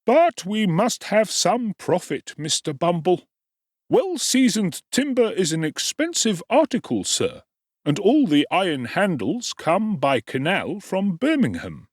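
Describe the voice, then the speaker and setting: A man voicing a gaunt, jocular middle-aged undertaker with a high, wheedling tradesman's whine. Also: sing-song, a little slow, no echo, clean studio sound, exaggerated voice.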